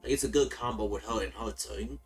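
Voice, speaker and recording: A laid-back man from the United States chats through his game stream in a relaxed, rambling way. He often restarts and repeats his words. His mic sits a bit far off, with faint game music underneath. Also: lowish voice, a little fast, gravelly. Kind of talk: casual gaming stream commentary.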